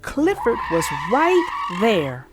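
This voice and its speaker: A woman children's book narrator speaking expressively and deliberately, as if to young children. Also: on the high side, huge pitch swings, music in the background. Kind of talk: storybook read-aloud and casual chat.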